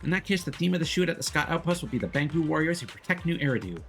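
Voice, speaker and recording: A man voicing an eager, earnest high-school photographer while reading video game dialogue aloud. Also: animated, rapid-fire, a bit bright, faint music behind.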